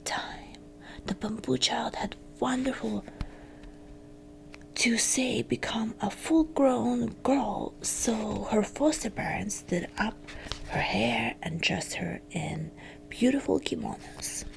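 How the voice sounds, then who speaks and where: A woman reading a fairytale as ASMR storytelling, in a breathy, crackly whisper with hushed phrasing and gentle pauses. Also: on the high side.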